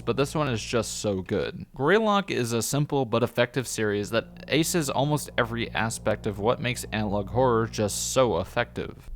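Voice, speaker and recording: A man narrating a scripted, opinionated review as a video reviewer. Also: mid-range voice.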